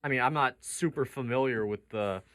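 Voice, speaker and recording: A man's voice: medium pitch, normal speed, a bit bright, no echo, studio quality. A man hosting sports talk, reasoning conversationally through counterpoints in a basketball debate. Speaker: sports radio talk show host.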